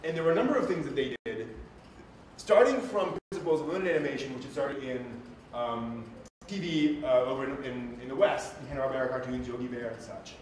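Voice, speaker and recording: A very reverberant room surrounds this man, who sounds some distance from the microphone. His voice sits a little high as he presents like an informal lecturer, enthusiastic and prone to emphatic asides. Faint noise runs underneath. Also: a little fast. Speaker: live stream host and presenter. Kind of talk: informal lecture on anime history to an audience.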